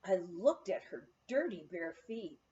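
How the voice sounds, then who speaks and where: A woman reading a humorous memoir as scripted narration, with expressive storytelling. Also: on the low side, unhurried, lo-fi sound, slight background noise. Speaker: audiobook reader.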